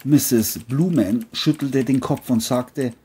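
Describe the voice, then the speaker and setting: Crime audio drama narrator, mid-pitched, husky, mellow tone, scripted storytelling. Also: takes it slowly.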